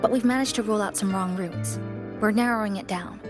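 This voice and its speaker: A woman's voice: medium pitch, fairly quick, close to an everyday voice. A woman playing a reserved, serious young female fighter in a video game, speaking in a restrained, matter-of-fact way. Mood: measured. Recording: music behind the dialogue.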